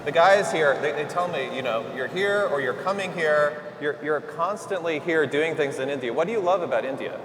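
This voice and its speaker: A man's voice: on the high side, normal speed, few pauses, a bit bright, slight background noise. A man hosting a conference stage, introducing speakers in an upbeat way. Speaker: event host. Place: large conference hall. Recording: hall reverb with music behind.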